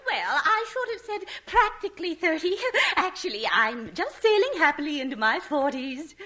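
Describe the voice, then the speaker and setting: Older club lady, high swooping voice, bright, gushing, coy, vintage radio comedy. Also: very animated, normal speed, heavily acted. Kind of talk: 1940s radio comedy acting.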